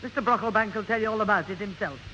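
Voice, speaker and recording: An actress playing a firm, no-nonsense woman in a radio sitcom, speaking briskly. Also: mid-range voice, bright, forceful tone, natural voice. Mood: firm, scolding. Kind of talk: 1970s radio sitcom acting. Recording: muffled old radio, hiss, hall reverb.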